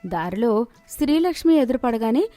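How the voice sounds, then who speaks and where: A woman's voice: a little high, big pitch swings, normal speed, warm tone, faint music behind. A woman narrating a scripted moral story as its storyteller.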